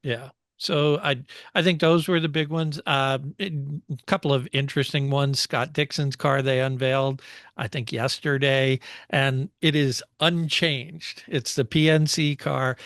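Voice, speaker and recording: A man's voice: mid-range voice, steady tone, measured pace, fairly bright tone, dry recording, studio quality. A man co-hosting a motorsport show in casual, conversational and analytical discussion.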